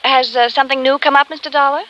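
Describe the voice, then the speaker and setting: Tense, guarded widow, bright voice. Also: female voice, high voice, steady tone, quick pace. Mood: guarded, uneasy. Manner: nervous, hesitant. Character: tense, guarded female widow hiding something. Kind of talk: old-time radio drama acting. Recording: dull band-limited vintage radio sound.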